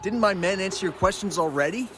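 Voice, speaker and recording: A man playing a cowardly exile gang leader in a video game, nervous, placating and quick to back down. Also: sits high, noisy background, lightly acted. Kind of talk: video game character acting.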